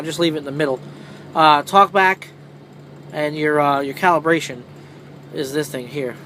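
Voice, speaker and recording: A man's voice: on the high side, fairly bright tone. A man giving an informal, off-the-cuff how-to in a rambling, mildly salty way, like a trucker showing his gear. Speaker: truck driver presenting a how-to video. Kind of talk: casual off-the-cuff tutorial. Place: inside a parked semi truck cab. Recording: clearly noisy vehicle ambience.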